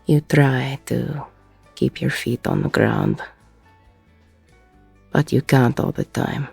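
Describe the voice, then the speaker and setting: A woman playing a weary, regretful ex-convict bar owner in an intimate audio roleplay, with a husky, gravelly voice and a reflective delivery full of pauses. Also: a bass voice, darkish tone, no room sound, faint music behind, full character voice. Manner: slow, reflective, pausing mid-sentence.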